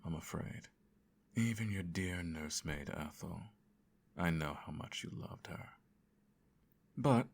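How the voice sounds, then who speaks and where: Evil emperor father , male actor, extremely low gravelly voice, formal and condescending, quietly menacing bedtime ASMR roleplay. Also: heavily acted. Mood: cold, menacing. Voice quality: very deep, creaky.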